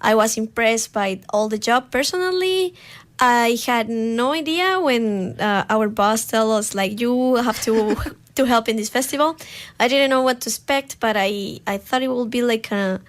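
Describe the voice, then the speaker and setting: A woman's voice: fairly high pitch, measured pace. A young woman from Rica, hosting a live radio chat in a studio, upbeat but hesitant with many fillers. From Costa Rica. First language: Spanish. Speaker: radio host. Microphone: studio microphone.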